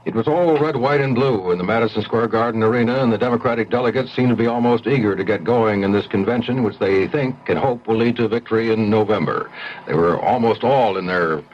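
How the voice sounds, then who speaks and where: Deep-ish guy reading the news steady and even, old muffled radio tape. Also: a little hiss or hum. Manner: measured, even newsreader delivery. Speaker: news anchor. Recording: dull lo-fi 1970s radio broadcast.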